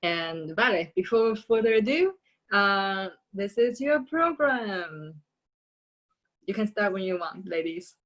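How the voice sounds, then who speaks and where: A woman's voice: medium pitch, lively intonation, slow, muffled recording. A woman who coaches the performers, hosting a show over a video call in a friendly, practical way. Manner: friendly, practical, with fillers and laughs. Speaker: host and coach.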